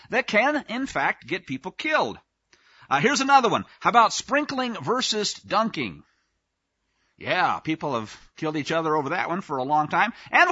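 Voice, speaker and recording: A man's voice: sits high, sharp tone, husky, no echo, dull audio. A male preacher giving an informal teaching sermon in a folksy, conversational way with sarcastic asides.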